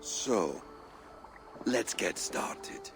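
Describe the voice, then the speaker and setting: An actor playing a calm, calculating ancient man in a video game, courteous but superior, with measured formal speech. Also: lowish voice, over music. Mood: superior, assured. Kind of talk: dramatic video game acting.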